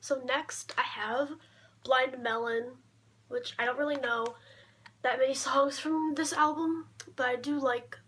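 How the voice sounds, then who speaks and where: A young woman talking casually in a low-key show-and-tell vlog, often trailing off, recorded on a camera mic in a bedroom. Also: fairly even intonation, unhurried, a bit bright. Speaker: vlogger showing a collection. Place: small cluttered bedroom, indoors. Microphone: camera mic at arm's length. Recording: clear background noise, handling clicks.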